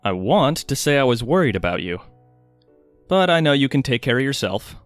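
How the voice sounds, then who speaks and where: A man's voice: mid-range voice, very animated, moderate pace, clear, resonant tone, no echo, faint music behind, lightly acted. An actor playing a guarded, wry male mage inventor in an audio drama, speaking hesitantly and intimately. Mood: guarded, earnest.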